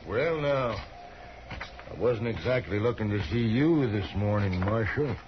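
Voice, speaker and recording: Low, folksy western gunfighter. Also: male voice, expressive, measured pace. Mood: coolly amused. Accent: rural American West. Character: cool, menacing male gunfighter, folksy and confident. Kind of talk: 1950s radio western acting. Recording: muffled, noisy old radio recording.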